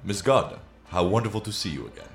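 A man's voice: low-pitched, very animated, quick pace, creaky, faint music behind, exaggerated voice. A man playing a suave, mysterious painter in a radio play, speaking with formal, gallant courtesy. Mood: suave, courteous.

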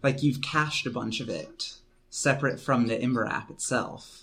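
A man's voice: medium pitch, normal speed, gravelly. Casual male podcast host. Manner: relaxed, conversational, uses "like" often. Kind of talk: casual interview questions.

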